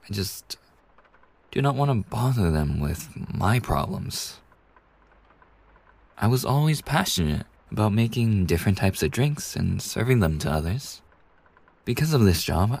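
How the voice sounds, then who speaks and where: A man playing a kind, polite young bartender in an ASMR roleplay, speaking softly and breathily in a courteous, soothing way with hesitant pauses. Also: fairly low pitch, heavily acted. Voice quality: soft, breathy. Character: kind, polite young male bartender, gently attentive university student. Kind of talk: ASMR roleplay, soft intimate scripted acting.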